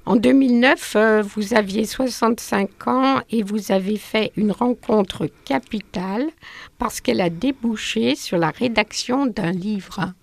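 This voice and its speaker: A woman interviewer asking slow, hesitant questions with many fillers. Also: medium pitch, gravelly, dry recording.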